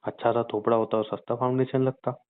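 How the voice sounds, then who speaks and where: A man's voice: medium pitch, little pitch movement, fast talker, clear, resonant tone. A young man teasing his girlfriend sarcastically on a phone call while adding up her shopping sums. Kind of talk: teasing scripted phone banter.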